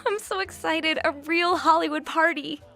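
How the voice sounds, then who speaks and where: A woman playing a naive, girlish seventeen-year-old aspiring actress, bubbling with excitement, in a 1950 radio play. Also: extremely high pitch, normal speed, creaky, soft background music, full character voice. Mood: thrilled.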